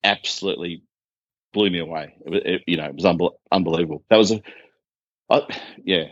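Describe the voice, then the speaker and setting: Deep-voiced Australian man on a dull line. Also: quick pace, no room sound. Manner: relaxed, laughs easily, trails off. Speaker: guest. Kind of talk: casual chat. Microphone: phone line. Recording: dull dry phone line.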